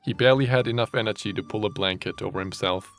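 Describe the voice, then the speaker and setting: A man's voice: on the low side, quick pace, fairly bright tone, faint music behind. A man reading a fanfiction story aloud as a dramatic narrator, mock-serious and often amused, with joking asides. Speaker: story reader.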